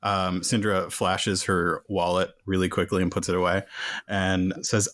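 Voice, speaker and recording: A man's voice: sits low, flat delivery, a little slow, a bit bright, no room sound. A man playing a tabletop game, casually describing his moves and dice rolls.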